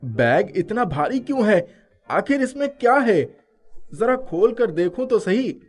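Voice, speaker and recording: A man voicing a kind, humble schoolboy in an animated moral story, speaking politely and expressively. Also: high voice, unhurried, voice pushed up, exaggerated voice. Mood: puzzled, amazed. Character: kind, earnest schoolboy, humble and easily flustered.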